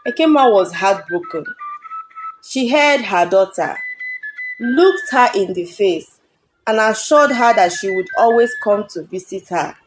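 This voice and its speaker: A woman's voice: mid-range voice, lively intonation, a little slow, music in the background, low-quality recording. A woman working as a storyteller, narrating a scripted folktale.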